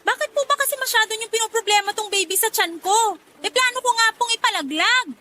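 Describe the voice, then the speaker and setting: A resentful young woman in a radio drama, pleading and argumentative. Her voice is extremely high and sharp-edged, with noise behind it. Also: fast talker. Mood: defiant, resentful. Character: pregnant young daughter, defiant, distressed, resentful. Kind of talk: Tagalog radio drama acting.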